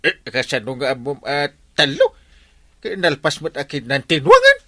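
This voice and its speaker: Flustered village captain for radio comedy; exclamatory, extremely animated intonation, mid-register. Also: medium pitch, moderate pace, dry recording, heavily acted. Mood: exasperated. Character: excitable comic village captain, exasperated and exclaiming. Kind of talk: Ilocano comedy radio drama acting.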